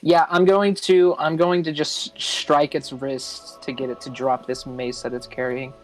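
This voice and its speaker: Higher-pitched man, hesitant roleplay player. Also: flat delivery, unhurried, faint music behind, low-quality recording. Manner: hesitant, thinking aloud, describing moves in third person. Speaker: player in a tabletop roleplay game. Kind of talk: casual game chat. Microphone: online voice call.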